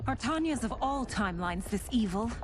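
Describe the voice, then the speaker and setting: A woman's voice: fairly high pitch, expressive, a little fast, noisy background, natural voice. A woman playing a fierce, wry warrior in a video game cutscene, combative in her delivery. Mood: wry resolve.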